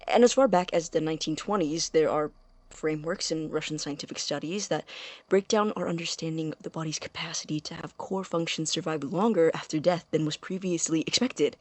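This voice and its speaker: A woman's voice: sits low, a little fast, no echo. A woman playing an eager, obsessive young male science student in an audio drama, speaking in an earnest, intellectual, insistent way. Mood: fervent, insistent.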